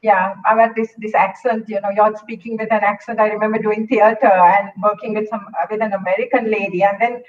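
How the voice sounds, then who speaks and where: A woman's voice: mid-range voice, level tone, fairly quick, few pauses. A woman hosting an online interview, chatting and asking questions conversationally. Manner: conversational, anecdotal. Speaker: host, interviewer. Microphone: video call. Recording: distant, muffled online call audio.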